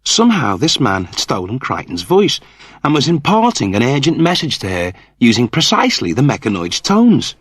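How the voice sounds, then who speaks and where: Male audiobook narrator for comic fiction: expressive, swooping delivery, slightly rough voice, very dry recording. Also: medium pitch, moderate pace, no echo. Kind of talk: scripted comic novel narration.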